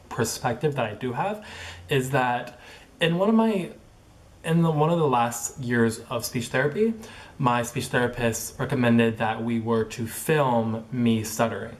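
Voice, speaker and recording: A young man who stutters, talking casually in a personal vlog, with repeated sounds, blocks and many fillers like "like" and "um". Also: mid-range voice. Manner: filler-heavy, halting phrasing with many likes and ums. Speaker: vlogger.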